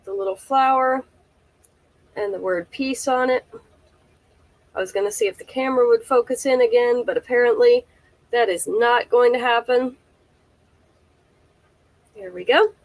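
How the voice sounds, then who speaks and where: Woman, slightly high voice, stuffy nose, chatty live seller, detailed descriptions, close camera mic. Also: moderate pace, pauses often, low-quality recording. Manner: chatty, careful item-by-item descriptions.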